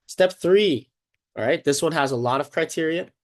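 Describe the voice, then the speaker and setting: A man's voice: fairly high pitch, moderate pace. A congested, sniffling man teaching a casual math lesson at a board, upbeat and chatty, often checking in with "okay" and "right?".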